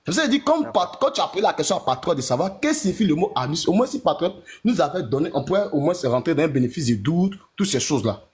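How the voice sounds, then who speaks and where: A man making his case as a debate panelist. His voice is fairly high and swings widely in pitch. He stumbles, repeats words and restarts his sentences. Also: rapid-fire, hardly any pauses. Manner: lively, hesitant, repeats himself. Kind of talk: online debate talk.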